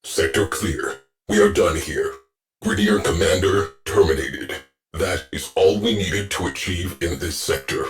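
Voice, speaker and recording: An actor playing a grave male ship AI who gives clipped, measured military reports in a video game. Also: low-pitched. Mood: steady. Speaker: ship's command AI.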